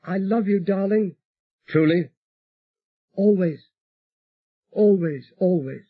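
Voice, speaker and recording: A man voicing a gentle, brave young woman speaking softly and affectionately over a radio. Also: high voice, takes it slowly, slightly rough, narrow, tinny audio, pitched above the performer's own voice, heavily acted. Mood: loving. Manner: soft and affectionate.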